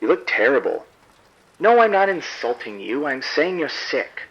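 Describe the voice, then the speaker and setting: A man's voice: mid-range voice, sing-song, moderate pace, creaky. An actor playing an irritated, proud husband and father who speaks curtly and defensively to the police over the phone. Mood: exasperated. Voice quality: curt, defensive. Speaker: caller. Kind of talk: audio drama acting. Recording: dull phone line.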